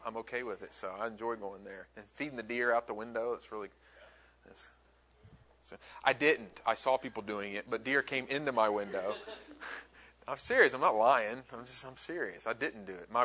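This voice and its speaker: A middle-aged Southern-raised man leads a classroom session in a bright, forward voice. He talks in a loose, friendly way and drifts into side stories with his students. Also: mid-range voice. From American South. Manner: chatty, friendly, rambling asides. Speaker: instructor. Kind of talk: classroom talk.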